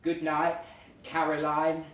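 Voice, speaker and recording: Male live storyteller, comic and theatrical, slightly slow, roomy distant sound, faint noise. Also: a little high. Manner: theatrical, expressive, acting out the dialogue. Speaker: reader of a short story. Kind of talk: dramatic comic story reading to a live audience. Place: live reading in a pub room.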